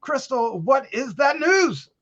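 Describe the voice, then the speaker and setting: A man's voice: very high-pitched, very animated, slow, fairly bright tone. A man hosting a horror news podcast, presenting film news in a casual, jokey way. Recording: dull, lo-fi voice track.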